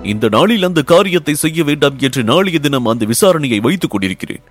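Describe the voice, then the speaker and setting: A man's voice: a little high, lively intonation, a little fast, soft background music. Man acting an eager, stately young-reign emperor. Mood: excited, tender. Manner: declamatory, stately, formal.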